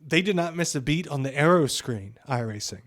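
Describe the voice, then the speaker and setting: A man's voice: fairly high pitch, expressive, brisk, fairly bright tone, dry recording, studio quality. A man co-hosting a podcast with casual, opinionated commentary, emphatic, repeating phrases for stress and swearing freely. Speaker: podcast co-host.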